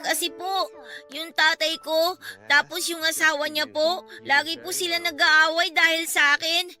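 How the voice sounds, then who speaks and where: A woman's voice: extremely high pitch, bright, forceful tone, heavily acted. A woman with a Filipino accent voicing an innocent, polite, pleading little orphan girl in a radio drama, in a childlike, earnest manner.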